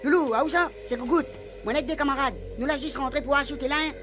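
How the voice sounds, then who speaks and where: A woman playing a jittery, bungling pal in a radio soap comedy, with a Réunion accent. The voice is high and rattles along fast and exclamatory, over a music bed. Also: natural voice. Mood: nervous, alarmed. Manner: colloquial Creole-mixed French, exclamatory.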